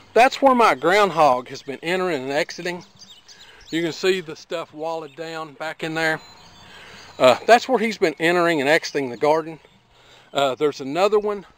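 Folksy Appalachian homesteader, high voice. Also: male voice. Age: middle-aged. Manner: folksy, plainspoken, rambling with fillers. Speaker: homesteader vlogger. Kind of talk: casual outdoor demonstration. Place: outdoors by a garden.

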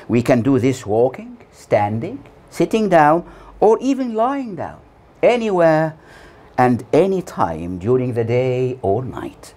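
An older man in his sixties preaches from a prepared text in a solemn manner. His pitch moves widely as he sets out each short phrase deliberately, leaving pauses between them. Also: very animated, mellow. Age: 60s. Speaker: preacher delivering a Friday sermon. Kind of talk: scripted sermon read from notes. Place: small plain room indoors. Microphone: lapel mic.

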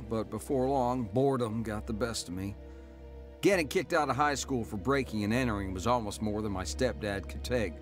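A man playing a reflective adult recounting his troubled youth in measured, scripted drama narration. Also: mid-range voice, natural voice.

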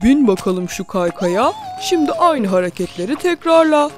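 A woman's voice: on the high side, big pitch swings, moderate pace. A woman voicing an encouraging, instructive grown-up lion in a children's cartoon dub. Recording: music under the voice.

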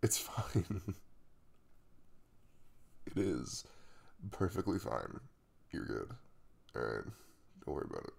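A man's voice: very deep voice, big pitch swings, vocal fry, full character voice. A man playing a caring young crush the morning after a kiss in an intimate ASMR roleplay, speaking casually and hesitantly, with a reassuring manner. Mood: reassuring, flustered. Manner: hesitant, reassuring, with soft chuckles and trailing pauses.

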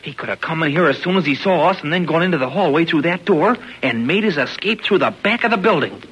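An actor playing an eager, excitable high-school boy with an American accent in a 1940s radio serial, earnest and full of gee-whiz exclamations. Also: fast, breathy edge, dull audio. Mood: excited, alarmed. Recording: noisy old radio broadcast recording.

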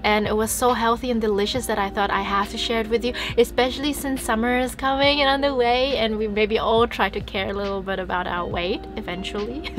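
A young woman who speaks as a chats her way through a van-life vlog, laughing easily and a little self-conscious. Her voice sits a bit high, with rain tapping on the van roof. Lo-fi background music plays under her. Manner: chatty, giggly, self-conscious. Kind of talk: casual vlog chat while cooking.

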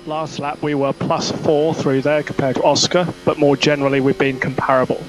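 A man's voice: fairly high pitch, level tone, a little fast. A man working as a race engineer, giving terse, clipped technical instructions over team radio. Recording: noisy motorsport team radio.

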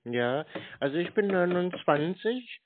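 A man with a fairly high voice talks casually on a call as a prankster. His manner is deadpan and innocently naive. The sound is phone-like, with slight noise. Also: a little slow. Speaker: host, scam-baiting prank caller. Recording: noisy narrow phone-like sound with clicks.

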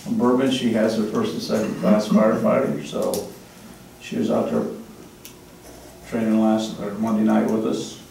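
A man's voice: on the low side, normal speed, creaky, warm tone, slight background noise. A man giving a fire department report at a council meeting, friendly and joking. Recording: echoey room from far off.

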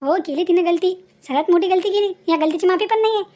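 A woman's voice: very high-pitched, quick pace, mellow. A woman voicing a pleading, scolding motherly village neighbour in a cartoon drama, insistent and repetitive, with a rural Maharashtrian Vidarbha accent. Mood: reasoning, insistent. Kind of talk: Marathi cartoon moral drama dialogue.

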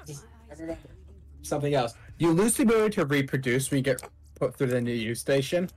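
A man chatting casually while playing a co-op video game, organizing his friends with halting restarts. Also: fairly high pitch, a little mellow, breathy edge, low-quality recording. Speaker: gamer leading a co-op session. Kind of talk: casual gaming chat.

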